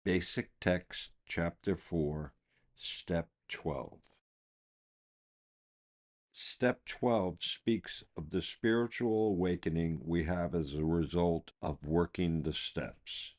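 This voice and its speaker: A man with a low voice reads a scripted reflection for a podcast. His pace is very unhurried, and each phrase is set down deliberately. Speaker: podcast reader. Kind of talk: scripted devotional reading.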